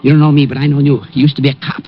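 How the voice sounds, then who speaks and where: A man's voice: mid-range voice, flat delivery, racing, slight background noise, natural voice. An actor playing a nervous ex-convict street photographer who pleads in tough-guy slang, in 1940s radio acting style. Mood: pleading. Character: jittery small-time ex-con street photographer, man. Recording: dull old radio transcription.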